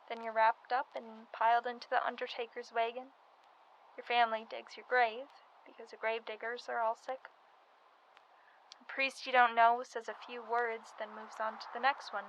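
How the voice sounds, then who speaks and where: A woman's voice: fairly high pitch, bright, forceful tone, highs cut off, slight background noise. A young woman playing a grim, matter-of-fact pandemic worker in a radio drama. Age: young adult. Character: grim, weary woman tending flu dead in 1918.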